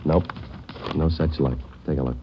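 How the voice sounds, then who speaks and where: Radio crime drama lead: terse American cop, deep matter-of-fact delivery, muffled vintage recording. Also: male voice, normal speed, background noise. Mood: terse, dry. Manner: clipped, matter-of-fact, deadpan. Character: dedicated, terse male police detective sergeant, narrating his cases. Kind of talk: 1940s-50s radio drama acting and narration.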